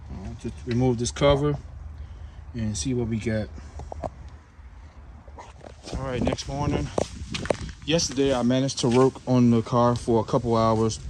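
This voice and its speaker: A man hosting a casual hands-on car repair vlog, with a relaxed, chatty way of talking straight to his viewers. He is sniffly from the cold, with a runny nose, and speaks outdoors beside the car. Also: medium pitch, moderate pace, pauses often, a little hiss or hum. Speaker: DIY mechanic and channel host.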